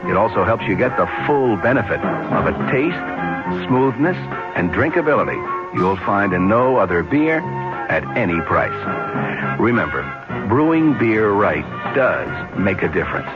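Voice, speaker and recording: Lively low-voiced radio ad announcer. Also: male voice, a little slow, highs cut off. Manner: friendly, persuasive. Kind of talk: radio commercial. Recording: old radio broadcast with loud music.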